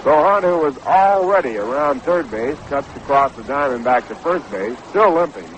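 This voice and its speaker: An American man calling baseball play-by-play on the radio in a measured, descriptive way. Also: mid-range voice, lively intonation. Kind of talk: live radio sports commentary. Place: ballpark broadcast booth. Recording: noisy 1960s AM radio broadcast.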